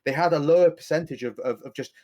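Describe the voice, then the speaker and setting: A man's voice: on the high side, fast talker, darkish tone. A man co-hosting a history podcast, talking in a rambling, self-correcting, playful way. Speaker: podcast co-host. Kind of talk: casual history podcast chat.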